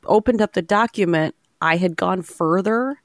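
Low gravelly woman with a stuffy, nasal voice. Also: moderate pace, no room sound, clean studio sound. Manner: storytelling, restarts often. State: nasal from a cold. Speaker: podcast co-host, author. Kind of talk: casual chat.

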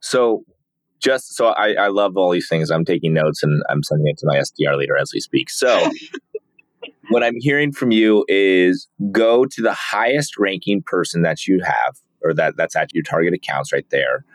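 A male host with a very low voice asks curious follow-up questions. The sound is dry and close, with no room echo. His tone stays inquisitive as he works through his guest's points. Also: lively intonation, normal speed. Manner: curious, note-taking, asks follow-ups. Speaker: podcast host. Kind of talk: interview questioning.

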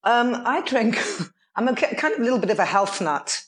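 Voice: medium pitch, sing-song, normal speed, fairly bright tone. A musician guest talking in an earnest, reflective way over a remote call, with lots of fillers. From Nigeria. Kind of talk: casual chat.

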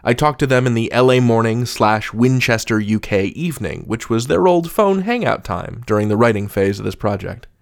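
A man's voice: mid-range voice, expressive, moderate pace, gravelly, few pauses. A man hosting a podcast, articulate and wordy, moving between scripted intros and conversational interviewing.

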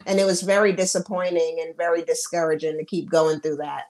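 Low-voiced woman with a Trinidadian accent. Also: level tone, a little fast, darkish tone, low-quality recording. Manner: earnest, telling a personal story. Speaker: guest.

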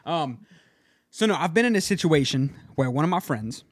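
Animated man in crude casual banter. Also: fairly high pitch, moderate pace. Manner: loose, crude, laughing banter with restarts. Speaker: podcast co-host. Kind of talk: casual comedic chat.

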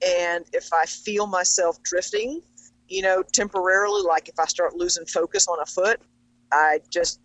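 A woman's voice: on the low side, fairly bright tone, dry recording, rough recording. A woman barefoot hoof trimmer speaking in an earnest, focused way. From South Carolina.

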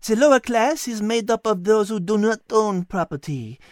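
An elegant French lady creature, played by a male narrator, speaks high and lilting. She is clever and gracious, explaining things articulately in lively storybook fashion, with a dry, close sound. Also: moderate pace, no echo.

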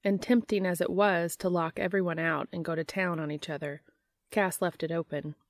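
A woman reads a story aloud as a narrator. Her voice sits somewhat low for a woman, and the recording is dry, with little room sound. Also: steady tone, normal speed, dry recording. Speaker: fan fiction audiobook narrator. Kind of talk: scripted narration, reading a story aloud with character dialogue.

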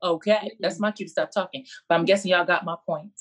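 A woman's voice: fairly low pitch, steady tone, quick pace. A woman coach speaking as a panelist on a video call, earnest and preacherly, repeating her key phrases. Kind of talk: impassioned faith-based speech on a video call.

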